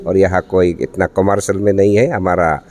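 A middle-aged man, the event organizer, speaking to news cameras in a church hall with halting pauses and fillers. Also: deep voice, fairly even intonation, a little mellow. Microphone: handheld news mics in front.

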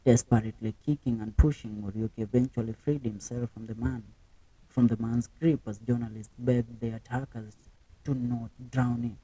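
A man's voice: mid-range voice, fairly even intonation, moderate pace, mellow, slightly rough, no echo, recorded from a distance, rough recording. A man reading documentary narration, stumbling and restarting words now and then. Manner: reads with slips and restarts. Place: voice-over, not recorded on location.

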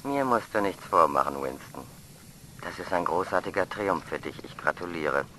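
An actor playing a calm male space agency official in a radio play, speaking dryly. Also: sits low, moderate pace, slight background noise, poor audio.

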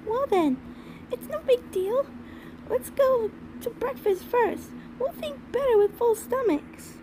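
A woman's voice: very high voice, slow pace, dark tone, a little hiss or hum, poor audio. A woman voicing a bossy, dismissive little unicorn filly in an amateur cartoon dub. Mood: calm, doubtful.

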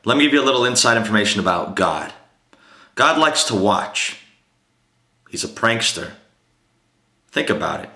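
Male actor as scornful Devil, theatrical monologue, sharp forceful tone, emphatic, sly tempter, echoing room. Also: fairly low pitch, lively intonation, moderate pace, pauses often. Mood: sly, mocking. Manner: theatrical, emphatic, swinging from sly confiding to roaring. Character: the Devil, gleeful scornful male tempter ranting against God. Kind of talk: dramatic monologue performance. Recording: roomy, echoing amateur room recording.

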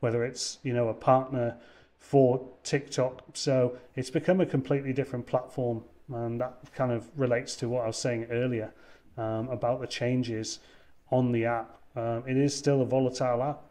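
Young guy on a headset call, warm mellow voice, lots of ums, thinks as he talks. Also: mid-range voice, level tone, normal speed. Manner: hesitant, many ums and restarts. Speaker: wine content creator guest. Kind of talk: casual interview over video call. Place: indoors, room with wine shelves. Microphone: over-ear headset on video call.

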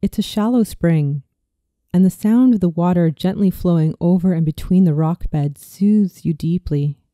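A speaker in their thirties reading a scripted guided visualization in a soothing, slow and deliberate way, as a meditation narrator. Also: mid-range voice, warm tone, no room sound, clean studio sound. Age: 30s.

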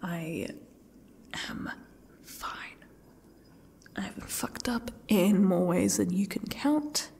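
A woman playing a defiant, broken, exhausted young captive in an ASMR roleplay, speaking haltingly and often dropping to a whisper. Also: lowish voice, expressive, vocal fry, darkish tone, heavily acted. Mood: weary, despairing.

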